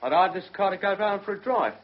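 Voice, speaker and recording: An actor playing a surly, defiant career criminal on trial in a radio drama, speaking bluntly with a husky voice and a working-class English accent. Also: male voice, high voice, animated, quick pace. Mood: guarded, insistent. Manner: blunt, colloquial. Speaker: defendant in a courtroom drama. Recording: muffled, dull radio drama recording.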